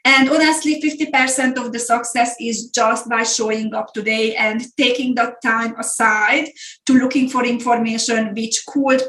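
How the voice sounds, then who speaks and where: A woman's voice: on the high side, a bit bright. Hungarian-accented woman coach, roomy echo. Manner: earnest, repeats words for emphasis. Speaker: business coach. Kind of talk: online masterclass presentation. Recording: echoey room.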